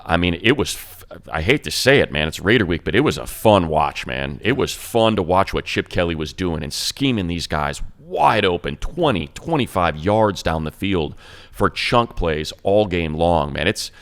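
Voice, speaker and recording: A man's voice: sits low, animated, moderate pace, fairly bright tone. A man giving casual sports commentary in an emphatic, colloquial way.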